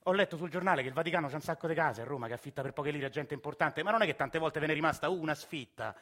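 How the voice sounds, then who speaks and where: Forceful, bright male voice actor in character: exasperated Roman labourer, pleading and colloquial, stage monologue. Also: fairly high pitch, very fast. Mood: bitter, pleading. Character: Agostino, put-upon Roman working man, desperate and plaintive. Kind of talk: theatrical monologue with several characters.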